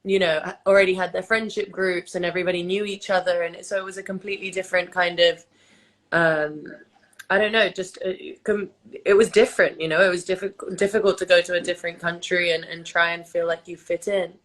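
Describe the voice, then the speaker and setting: A young woman from England, raised speaking Albanian at home, talks on a phone livestream. Her voice sits on the low side and she rambles in a relaxed way, stopping and restarting with plenty of ums and likes. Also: brisk, low-quality recording. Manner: chatty, many fillers and restarts. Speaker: host of a livestream chat. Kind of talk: casual chat. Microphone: phone on a video livestream.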